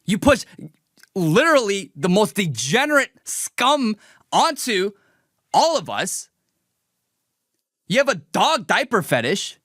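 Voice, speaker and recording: A man's voice: high-pitched, sing-song, slow pace, pauses often, a bit bright, no echo, clean studio sound. A young man streaming a mocking, combative political rant, talking emphatically as a show host. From raised in the United States. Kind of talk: livestream reaction rant.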